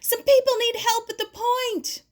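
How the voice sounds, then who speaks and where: A woman's voice: very high-pitched, little pitch movement, measured pace, pitched above the performer's own voice. A woman voicing an urgent, determined young English girl in a storybook reading. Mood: shouting. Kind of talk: acted children's audiobook dialogue.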